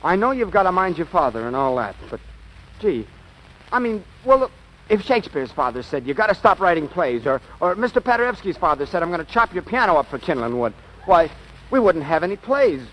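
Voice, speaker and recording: An actor playing an earnest, excitable teenage boy in a 1940s radio drama, pleading and blustering in slang. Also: high voice, brisk. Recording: noisy old radio broadcast recording.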